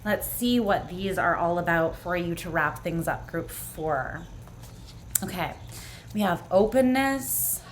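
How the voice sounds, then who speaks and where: Low-voiced woman, voice going hoarse. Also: animated, slow pace, slight background noise, poor audio. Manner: earnest, reassuring, with frequent "like" and "um" and repeated throat clearing. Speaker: tarot reader. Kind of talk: pick-a-card tarot reading, speaking to the viewer.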